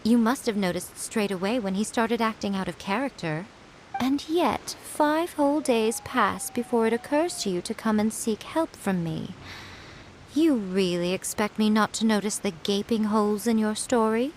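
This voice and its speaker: A woman voicing a sly fox-spirit shrine priestess in a video game, with a silky, mocking, unhurried delivery. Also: mid-range voice, slight background noise, natural voice, low-quality recording. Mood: probing.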